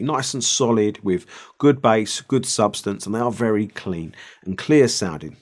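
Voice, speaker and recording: A middle-aged man presents a gear review. His voice sits at a medium pitch. He explains things in a measured, conversational way and adds his own opinions as he goes. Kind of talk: product review to camera.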